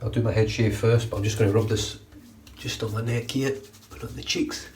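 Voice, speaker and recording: A man's voice: lowish voice, a little fast, far from the mic, slight background noise. A man in his thirties with a blocked, nasal voice, chatting casually through his shave for a grooming vlog, recorded on a phone in a small bathroom. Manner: chatty, relaxed, swears casually. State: congested, nasal. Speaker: shaving vlogger. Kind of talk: casual how-to commentary. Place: small bright bathroom at home. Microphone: phone front camera at arm's length.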